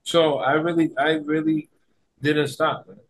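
A man with a notably high voice talks as a guest on a casual podcast. He is animated and slangy, often repeating a phrase to drive it home. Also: measured pace, poor audio. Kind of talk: casual chat.

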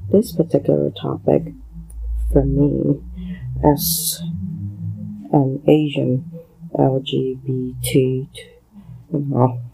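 Hong Kong-accented man, solo podcaster, gravelly, halting delivery, flat pitch. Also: rough recording. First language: Cantonese. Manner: halting, many fillers and pauses. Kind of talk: solo spoken commentary.

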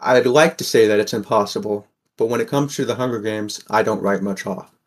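A man's voice: fairly low pitch, fairly quick, natural voice. A man playing a wary, sardonic sixteen-year-old boy who narrates an audio drama in the first person. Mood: bleak, sardonic.

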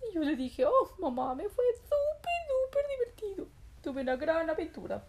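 A woman voicing an excitable, childlike little boy rabbit who tells a children's bedtime story with wide-eyed exclamations and drawn-out words. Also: high-pitched. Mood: happy, affectionate.

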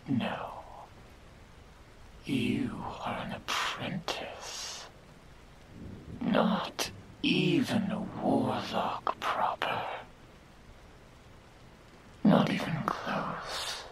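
Woman acting a slow, whispering, sinister demon girl. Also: a bass voice, big pitch swings, full character voice, rough recording. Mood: mocking, menacing. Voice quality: breathy whisper, mostly unvoiced. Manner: slow, mocking, condescending, theatrical delivery. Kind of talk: whispered ASMR roleplay. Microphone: close binaural microphone.

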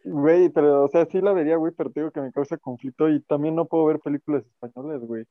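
A man's voice: fairly high pitch, steady tone, fast talker, no echo. A man with a Mexican accent co-hosting a podcast, defending his opinions in slangy casual chat and laughing at himself. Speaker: podcast co-host. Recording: dull lo-fi sound.

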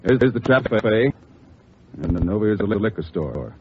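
A man playing a gruff, brisk, authoritative police chief briefing detectives in an old radio crime drama. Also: on the low side, lively intonation, natural voice. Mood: businesslike. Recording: muffled, noisy old radio recording.

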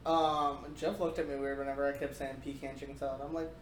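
A woman's voice: a bass voice, little pitch movement, quick pace. A woman co-hosting a podcast in casual chat, rambling with lots of fillers. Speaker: podcast co-host. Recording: echoey room with faint noise.

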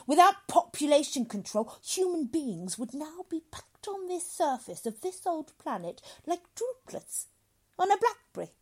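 A woman's voice: high voice, very animated, normal speed, a little mellow, pitched above the performer's own voice. A woman voicing a severe, pompous old male doctor who lectures emphatically in a dramatic story reading. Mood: severe, lecturing. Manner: emphatic, declamatory.

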